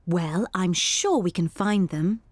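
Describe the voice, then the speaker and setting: A woman voicing a kind, patient mother pig in a cartoon, gentle and reassuring. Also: on the low side, slow pace. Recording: dry studio cartoon voice.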